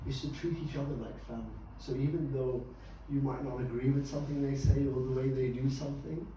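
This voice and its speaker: A man guiding a group discussion in an encouraging, measured way. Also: medium pitch, fairly even intonation, warm tone, a little hiss or hum. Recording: echoey room, distant mic.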